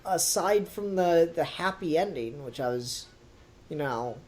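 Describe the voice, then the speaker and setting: A man's voice: a little high, animated, unhurried, darkish tone. A man hosting a podcast in a casual, rambling review style with a wry, sarcastic edge. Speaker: podcast host.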